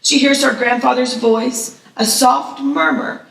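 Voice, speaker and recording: Fairly high-voiced woman narrator, echoey. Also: fairly even intonation, a little slow, creaky, fairly bright tone. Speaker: stage-direction narrator. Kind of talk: scripted narration at a live table read. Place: live festival event, echoey venue. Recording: echoey room, distant mic.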